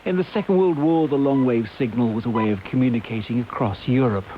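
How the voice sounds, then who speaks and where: A man's voice: mid-range voice, a little fast, slight background noise. A man narrating a scripted report as a radio news correspondent. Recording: dull radio broadcast with music behind.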